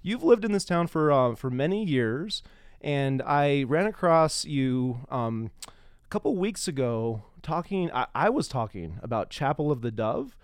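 A man's voice: mid-range voice, very animated, a little slow, smooth, dry recording, clean studio sound. A middle-aged man hosting a podcast, shifting between dramatic reading and casual talk. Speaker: podcast host.

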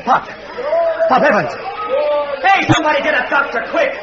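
A man playing a steady, tough, protective newspaperman in a 1940s radio crime drama, terse and challenging, on a muffled, noisy recording. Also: extremely high pitch, normal speed, over a noisy crowd. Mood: alarmed. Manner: terse, plain-spoken, challenging.

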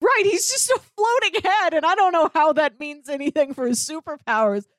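Female podcast panelist, higher pitch, dry close sound, chatty conversational delivery. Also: fairly quick, no echo. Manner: chatty, peppers speech with "like". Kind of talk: casual animated-series discussion.

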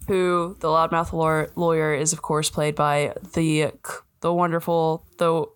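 A woman's voice: deep voice, flat delivery, a little slow, smooth. A young woman co-hosting a podcast in casual chat, easygoing, with a stuffy, congested voice. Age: young adult. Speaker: podcast co-host.

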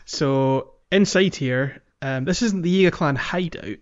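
A man's voice: a little high, a little slow, highs cut off. A man hosting a casual podcast, explaining a game walkthrough and guiding the chat with questions. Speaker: podcast host.

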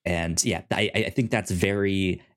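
Podcast co-host, young man, lowish voice, halting delivery full of restarts. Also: steady tone. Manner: halting, many restarts and fillers. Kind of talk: casual comic-book discussion.

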